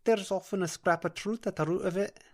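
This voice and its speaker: A woman's voice: fairly low pitch, fairly quick, voice pushed up, lightly acted. A woman voicing a soothing, earnest female dream-walker with a broad Scottish accent in an audiobook.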